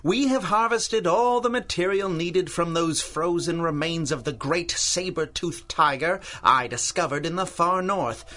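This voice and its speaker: A man's voice: a little high, a little slow, hardly any pauses, bright, forceful tone. A man playing an obsessed older scientist in a radio drama, formal and patronizing in manner, courteous yet ruthless. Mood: wistful, fond. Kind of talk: 1930s-style radio drama acting.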